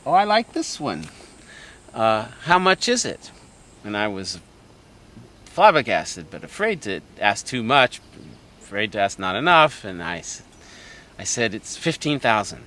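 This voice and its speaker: A middle-aged man, a sculptor, chatting and telling a long anecdote in a relaxed interview. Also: mid-range voice. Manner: chatty anecdotal storyteller, laughs often. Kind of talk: casual storytelling interview.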